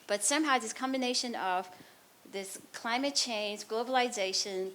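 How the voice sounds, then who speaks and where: A woman's voice: on the high side, moderate pace, fairly bright tone, a little hiss or hum. A woman from Nepal, a researcher, giving a public science talk and answering audience questions in an earnest, explanatory way. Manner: earnest, explanatory, frequent restarts. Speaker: immunology researcher.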